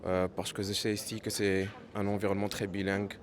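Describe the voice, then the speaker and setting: Student guy, low flat voice, stops to find words. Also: brisk, crowd noise. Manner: hesitant, searching for words.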